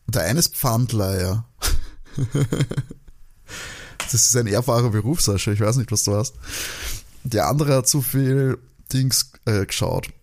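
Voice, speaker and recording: A man chatting casually as a podcast co-host, joking and rambling as he thinks out loud. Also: mid-range voice, unhurried, a little hiss or hum. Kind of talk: casual chat, guessing along with an audio drama.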